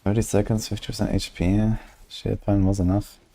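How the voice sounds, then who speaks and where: Low-voiced man musing over a game. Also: little pitch movement, normal speed, mellow. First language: German. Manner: musing, calculating aloud. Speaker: streamer. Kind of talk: casual gameplay commentary.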